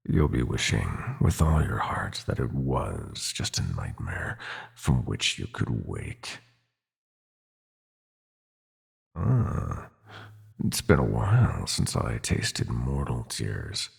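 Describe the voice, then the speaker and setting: A man's voice: a bass voice, full character voice. A man playing a sinister, seductive prince of nightmares in an ASMR roleplay, gloating and possessive, with a theatrical, taunting purr that slips into whispers. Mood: cruel, gloating. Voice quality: gravelly, husky.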